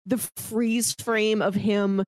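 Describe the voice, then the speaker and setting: A woman co-hosting a podcast in a casual film discussion, chatty and opinionated in an animated way. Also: medium pitch, slow, dry recording. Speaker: podcast co-host.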